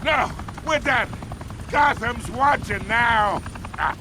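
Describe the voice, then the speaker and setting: A man's voice: very high-pitched, lively intonation, slow, exaggerated voice. An actor playing a brash, hot-tempered male crime boss in a video game, blustering and combative. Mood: cornered, defiant. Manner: blustering, foul-mouthed, combative. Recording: very noisy game cutscene with gunfire.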